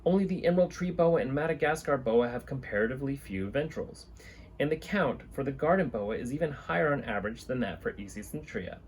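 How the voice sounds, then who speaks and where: A man's voice: medium pitch, expressive, a little fast. A man in his thirties hosting a hobby video, reading aloud and talking informally to camera. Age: 30s. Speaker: host of a reptile-keeping channel, reading books aloud. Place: small indoor room with reptile enclosures and a fan. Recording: clear background room noise.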